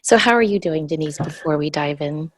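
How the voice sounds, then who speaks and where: A woman hosts a relaxed spiritual talk show in a voice made hoarse and scratchy by a cold. She sits a little low in pitch and explains things patiently and reflectively. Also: fairly quick. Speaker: podcast host.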